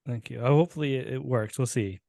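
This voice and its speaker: A man's voice: medium pitch, quick pace, vocal fry, dark tone, no echo, studio quality. A man, a podcast host, giving a dramatic reading of a short story, now and then tripping over words and correcting himself.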